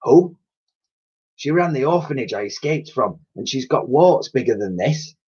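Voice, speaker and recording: A man's voice: mid-range voice, expressive, normal speed, a little mellow, breathy edge, voice pushed up. A man voicing a curious, daring orphan girl who talks eagerly and asks lots of questions. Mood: excited. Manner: eager, inquisitive. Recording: dull lo-fi recording.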